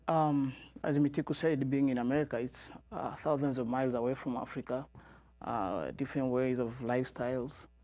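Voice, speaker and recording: A man's voice: medium pitch, a little mellow, dry recording. A man from Tanzania, a guest in a studio interview, speaking earnestly and often repeating words as he searches for them. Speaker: guest, member of parliament.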